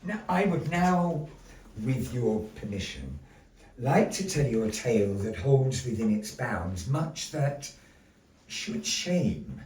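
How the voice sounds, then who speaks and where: A man telling a dark fairy tale live in a florid, dramatic manner, with deliberate pauses. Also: medium pitch, sing-song. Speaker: storyteller in a puppet show. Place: indoor gallery performance space. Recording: echoey room, distant mic.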